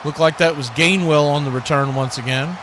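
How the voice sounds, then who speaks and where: An American man calling live football play-by-play in an excitable, colloquial radio style, repeating phrases as the action builds. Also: a little high, fairly quick. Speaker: play-by-play sports announcer. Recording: faint background noise.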